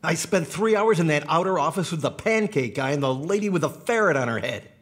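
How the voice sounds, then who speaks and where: Man acting slightly high-voiced job seeker, working-class, aggrieved, grumbling, indignant, comedy audio play. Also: animated, brisk, close to an everyday voice. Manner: indignant, complaining.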